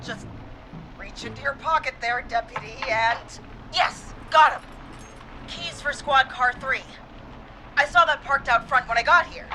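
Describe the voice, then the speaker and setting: Frantic, high-pitched female police dispatcher. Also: background noise. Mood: urgent, focused. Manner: urgent, halting, panicky. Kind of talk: video game voice acting.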